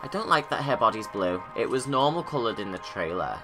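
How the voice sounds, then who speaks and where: Guy doing casual rambling film commentary, lowish lively voice, music playing behind him. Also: brisk. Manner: informal, rambling. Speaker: video creator.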